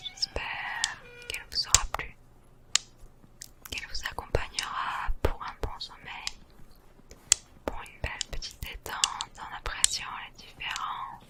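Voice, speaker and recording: A woman hosting a gaming video in whispered ASMR style, speaking in a hushed, soothing manner.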